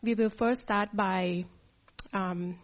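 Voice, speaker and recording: A woman from Thailand teaching a meditation class, speaking softly and hesitantly with many pauses. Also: medium pitch, fairly even intonation. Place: meditation hall. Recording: dull lo-fi sound.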